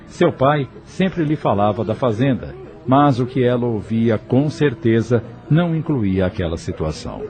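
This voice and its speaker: A man narrating an audio novel from a script in a measured storytelling manner. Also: mid-range voice, lively intonation, a little mellow. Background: music.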